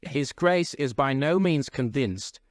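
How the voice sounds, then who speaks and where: A man's voice: medium pitch, sing-song, moderate pace, no echo, voice pushed up, close to an everyday voice. A man voicing an officious young private secretary in a classic story, with an incisive, formal manner. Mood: curt disapproval.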